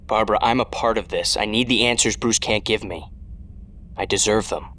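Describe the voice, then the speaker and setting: A man's voice: on the low side, normal speed, pushed and bright. A man playing a determined, sardonic young vigilante in an audio drama, dry and insistent.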